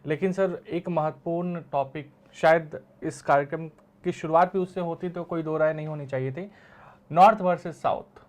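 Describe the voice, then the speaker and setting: Male host for political discussion show, moderately high-pitched voice. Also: steady tone, moderate pace, a little mellow.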